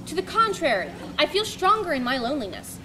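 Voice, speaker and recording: A woman's voice: high-pitched, sing-song, fairly quick, background noise. A woman reading the part of an earnest, solemn man who lives alone in the woods and writes, a little defensive. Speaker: reader in a dramatic reading.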